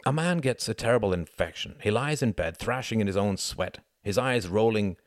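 An earnest young working-class man from a storybook, acted out by a male narrator. He speaks with swooping, animated pitch and a sardonic, passionate edge. His delivery is emphatic and rhetorical, in a dry, close sound. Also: moderate pace, dry recording, natural voice. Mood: impassioned argument.

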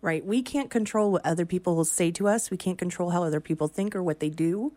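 A woman co-hosting a morning radio show in casual banter, her voice raspy and roughened by a sore throat. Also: fast, warm tone. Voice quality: raspy, lower than usual. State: sore throat with a slight cough.

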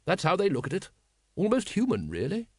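A man voicing a hearty, booming aristocratic woman who is blunt and matter-of-fact, as an audiobook character. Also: wildly swooping pitch, fairly quick, dry recording, heavily acted. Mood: brisk, blunt.

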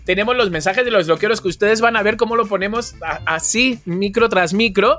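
A podcast co-host speaks in a medium-pitched voice. The talk is casual and wry, full of joking asides. Faint music sits under the voice. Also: a little fast, fairly bright tone. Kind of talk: casual chat. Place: bedroom, remote recording.

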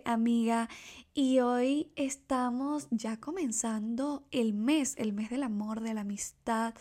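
A young woman from Ecuador talks through her solo podcast with a slightly high voice. She is chatty and confiding, and her talk is full of asides and fillers. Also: darkish tone. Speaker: podcast host.

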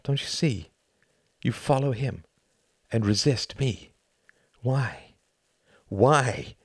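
A man's voice: low voice, moderate pace, darkish tone, no echo, studio quality. A man voicing a sardonic, articulate male friend in an audiobook, reasoning and lecturing with emphatic exasperation. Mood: exasperated anger.

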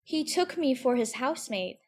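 A curious, chatty little girl, acted by a woman, with a high voice. She is polite and a little prim, thinking aloud to herself in a storybook manner. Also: lively intonation, moderate pace. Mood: puzzled, musing. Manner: musing, prim, talking to herself. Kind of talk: audiobook character acting.

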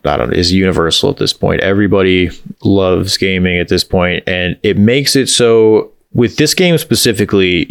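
A man's voice: sits low, a bit bright, clean tone, no echo, clean studio sound. A man hosting a conversational video game interview, asking wordy, rambling questions. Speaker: interviewer, podcast host.

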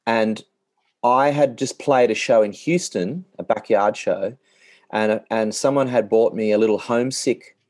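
低く単調に話すオーストラリア訛りの男性. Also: male voice, unhurried, no echo. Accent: Australian. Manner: monotonous, low-key delivery. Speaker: podcast co-host, musician. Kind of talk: casual chat.

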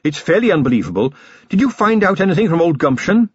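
A man voicing an earnest, commanding male police captain who talks briskly, from a fantasy audiobook. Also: high voice, sing-song, slightly rough, no room sound, muffled recording, voice pushed up, full character voice.

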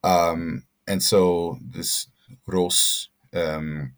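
Man, very low voice, middle-aged, hesitant, restarts often, scholarly interview guest. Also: flat delivery, creaky. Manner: halting, many fillers and restarts. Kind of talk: conversational interview answers.

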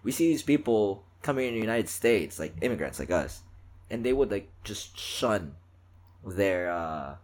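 A man's voice: lowish voice, unhurried. A man from the Philippines co-hosting a podcast, telling stories in a casual chat with many fillers. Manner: animated storyteller, many fillers. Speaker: podcast co-host.

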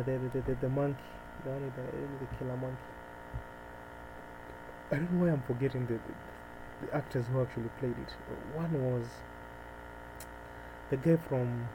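A man's voice: mid-range voice, steady tone, normal speed, long pauses. A man from Nairobi with a Kenyan accent chats casually and unscripted, rambling and hesitant as he thinks aloud. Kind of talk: casual unscripted monologue chat. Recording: noisy with clear mains hum.

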